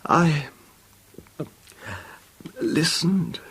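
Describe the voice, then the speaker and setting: An actor playing a nervy, anguished rich husband making a halting, stammering confession in a 1970s radio horror drama. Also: male voice, on the high side, a bit bright. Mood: heartsick, grave. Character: anguished, nervy, wealthy husband recalling his wife's betrayal. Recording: noisy old 1970s radio recording.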